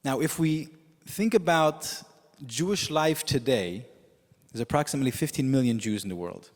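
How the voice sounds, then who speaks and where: A man whose first language is Yiddish, preaching as a rabbi in a measured storytelling way with frequent pauses. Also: medium pitch, animated. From United States. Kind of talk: sermon. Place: synagogue sanctuary with long reverb. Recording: reverberant hall.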